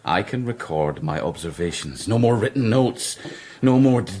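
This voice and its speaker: An actor playing a driven, awkward Victorian doctor with a halting stammer in a radio drama. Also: male voice, fairly low pitch, lively intonation, darkish tone, a little hiss or hum, lightly acted. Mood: eager, excited.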